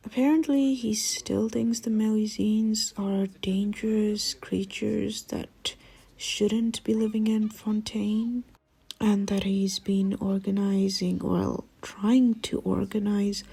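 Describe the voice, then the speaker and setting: A woman narrates a casual game story recap at a slow, unhurried pace. Her voice sits at a middle pitch and has a noticeable vocal fry. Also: fairly even intonation, warm tone.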